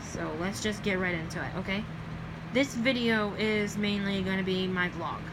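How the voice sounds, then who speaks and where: A woman's voice: mid-range voice, normal speed. Rambling young Southern woman, noisy recording. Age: young adult. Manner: rambling, with many ums and self-corrections. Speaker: vlogger. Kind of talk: casual late-night vlog chat.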